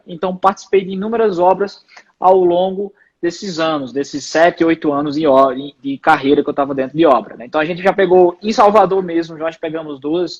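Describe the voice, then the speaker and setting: A man's voice: high voice, muffled recording. A man in his twenties, an engineer and company founder, chatting casually as a guest on a live stream, dropping lots of fillers. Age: 20s. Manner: relaxed, full of fillers like "né" and "aí". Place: home, live video call.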